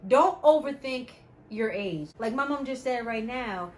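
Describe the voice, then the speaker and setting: A woman's voice: fairly high pitch, expressive, unhurried. Chatty woman in her thirties. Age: 30s. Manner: chatty, talks to viewers as y'all. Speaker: vlog host. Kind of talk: casual chat while eating.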